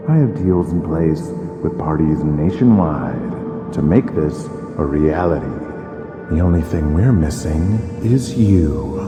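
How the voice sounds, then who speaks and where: A man playing an ambitious wrestling promoter in an audio drama, making a grandiose, persuasive sales pitch in a reverberant space. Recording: very reverberant echo, music underneath.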